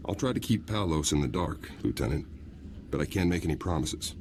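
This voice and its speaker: An actor playing a plain-spoken, loyal guard captain in a video game, talking in a friendly, respectful, informal manner. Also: male voice, low voice, animated, fairly quick, noisy background. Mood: frank, apologetic. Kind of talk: video game cutscene acting.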